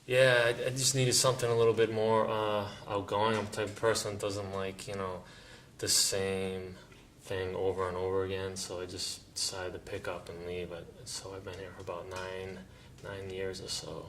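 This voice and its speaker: A man's voice: sits low, flat delivery, normal speed, a bit bright, slight background noise. A man from Massachusetts speaking bluntly and casually in a documentary interview, with some swearing. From Worcester, Massachusetts.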